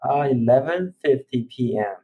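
A man from China, a ministry executive, giving an earnest personal testimony on a remote call, often pausing to search for words. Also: medium pitch, expressive, dark tone, husky.

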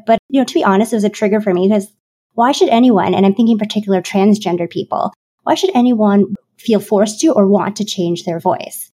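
A woman's voice: medium pitch, mellow. A woman podcast host asking candid, reflective questions and thinking aloud. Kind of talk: interview questions.

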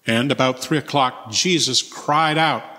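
A man reading scripture solemnly as narrator in a church service, phrase by measured phrase. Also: mid-range voice, bright, forceful tone. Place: large reverberant church. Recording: long hall reverb.

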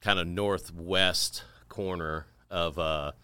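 Middle-aged man from Tennessee, podcast guest; very low voice with a bright, forceful edge, dry close mic, rambling casual storytelling. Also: fairly even intonation, slow pace, smooth, no echo. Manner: rambling, with fillers and repeats, laughs.

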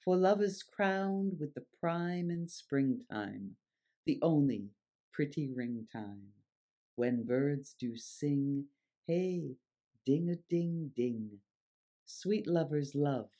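A presenter giving scripted narration and reciting verse in a measured, deliberate, theatrical way. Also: mid-range voice, animated, mellow. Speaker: presenter and reader.

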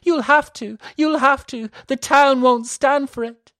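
A woman's voice: high-pitched, a little slow, fairly bright tone, no echo, studio quality, voice pushed up. A woman voicing a distraught, weeping young girl who pleads in panic. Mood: desperate sobbing.

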